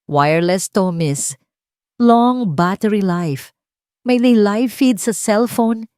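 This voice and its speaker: A woman's voice: medium pitch, slow, warm tone, clear, resonant tone, no room sound, clean studio sound, close to an everyday voice. A woman with a Filipino accent voicing a male street vendor with a clipped, salesmanlike manner in an audio story. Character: male street vendor pitching electronic gadgets.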